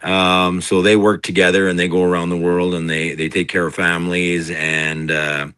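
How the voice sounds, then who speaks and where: A middle-aged man with a deep voice, a singer-songwriter telling stories in a casual interview, rambling with many fillers and restarts. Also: level tone, normal speed, pushed and bright, clean tone, dry recording. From Canada.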